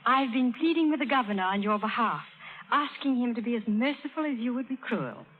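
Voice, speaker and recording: A woman's voice: a little high, expressive, a little fast, a little hiss or hum, natural voice. An actress playing an earnest, genteel young lady in love in a 1930s radio drama. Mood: composed. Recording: tinny 1930s radio broadcast.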